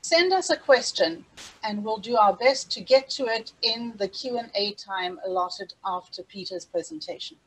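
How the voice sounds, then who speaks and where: A woman's voice: mid-range voice, moderate pace, husky, rough recording. A middle-aged woman with a South African accent, presenting a webinar over a video call in an earnest, scripted way with small restarts. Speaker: safari travel specialist hosting a webinar.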